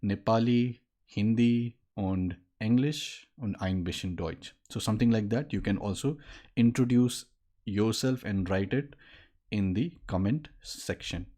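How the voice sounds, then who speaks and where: A man in his late twenties, a native Maithili speaker, teaching a casual online lesson at a desk, explaining and pausing with fillers. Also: fairly low pitch, dark tone. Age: late 20s. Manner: explains in mixed speech, halting with fillers. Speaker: language teacher. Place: indoor room at a desk.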